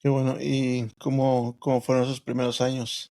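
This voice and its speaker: A man hosting a podcast, asking guests steady, encouraging questions in a casual interview. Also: medium pitch, normal speed. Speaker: podcast host.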